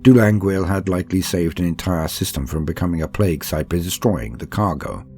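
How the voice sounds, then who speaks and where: Male narrator, low and mellow, calm sleep-aid style book reading, steady and soothing. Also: faint music behind. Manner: measured, soothing, unhurried storytelling.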